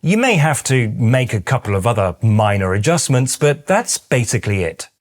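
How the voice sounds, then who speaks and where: A man's voice: mid-range voice, sing-song, normal speed. A middle-aged British man with a British accent, hosting a scripted explainer with precise, dryly wry delivery. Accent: British English. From Britain. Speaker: YouTube host.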